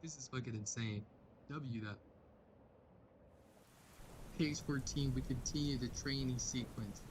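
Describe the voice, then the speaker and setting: A man's voice: medium pitch, a little slow, background noise. A man doing a casual comic read-along commentary in a profane, slangy way.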